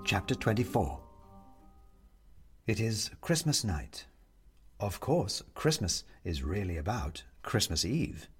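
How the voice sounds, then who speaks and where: A man's voice: on the low side, very animated, takes it slowly, pauses often, faint music behind. A man narrating a literary story as an audiobook reader.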